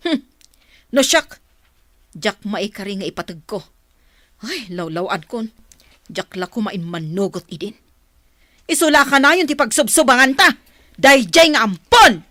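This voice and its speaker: A woman's voice: fairly high pitch, expressive, a little slow, long pauses, sharp tone, no room sound, studio quality. A woman playing a harsh, domineering mother in a radio drama, scolding and commanding. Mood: resentful. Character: harsh, domineering mother who resents her adopted son. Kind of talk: Ilocano radio drama acting.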